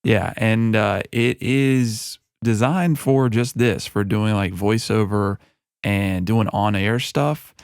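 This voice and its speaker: A man who is a music producer giving a relaxed, chatty walkthrough of a mixing session into a broadcast mic in his home studio. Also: fairly low pitch, slow pace, clean tone, no room sound. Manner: relaxed and conversational, with frequent fillers. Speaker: music producer and recording artist. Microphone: broadcast studio microphone.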